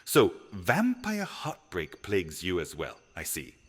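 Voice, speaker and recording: Man playing a deep, swooping-voiced vampire confidant. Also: huge pitch swings, a little slow, fairly bright tone, breathy edge, big-room sound, heavily acted. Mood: alarmed concern. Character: concerned, reproving male vampire confidant of a noble house. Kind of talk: dramatic audio roleplay acting.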